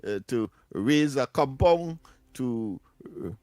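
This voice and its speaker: A man's voice: medium pitch, lively intonation, slow, mellow, no echo, studio quality. A man co-hosting a radio call-in talk show, chatting casually, often laughing and repeating himself. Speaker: co-host. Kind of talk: casual chat.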